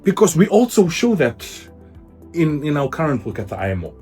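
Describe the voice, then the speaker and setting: A man hosting a casual interview, talking in an animated way with many fillers. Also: fairly high pitch, a little fast, soft background music.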